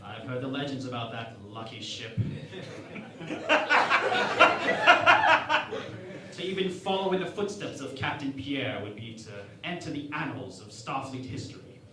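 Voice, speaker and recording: An actor playing a vain, cocky, clueless starship captain in a sci-fi parody radio play, with a grandiose, self-assured delivery. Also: male voice, mid-range voice, big pitch swings, measured pace, pauses often, crowd noise. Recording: echoey room, distant mic, faint noise.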